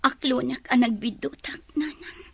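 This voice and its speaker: A woman's voice: sits high, moderate pace, vocal fry, fairly bright tone, breathy edge, no echo, heavily acted. An actress playing a despairing young pregnant woman full of regret in a radio drama, with a plaintive manner. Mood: ashamed, upset.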